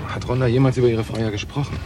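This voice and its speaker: An actor playing a calm middle-aged police detective, matter-of-fact and probing, in a radio drama. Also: male voice, medium pitch, fast, close to an everyday voice. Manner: matter-of-fact, inquisitive, composed. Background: music, traffic and street sounds.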